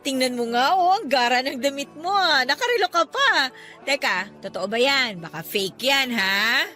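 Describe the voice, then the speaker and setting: A woman's voice: high voice, lively intonation, moderate pace, sharp tone, faint music behind. A woman playing a playful, chatty young businesswoman meeting an old flame in an audio drama, with a teasing, exclaiming manner. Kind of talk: Filipino audio drama acting.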